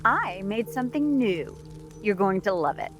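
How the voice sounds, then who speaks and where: A woman's voice: medium pitch, big pitch swings, moderate pace, music in the background, natural voice. An actress playing a demanding, ambitious middle-aged mother in an audio drama, with an insistent, pointed delivery. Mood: surprised, guarded.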